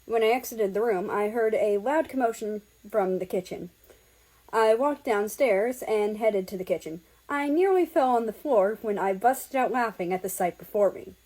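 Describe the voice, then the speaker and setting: A woman's voice: medium pitch, expressive, moderate pace, darkish tone, rough recording. A woman reading fan fiction aloud as an amateur audiobook-style narrator, telling it in the first person.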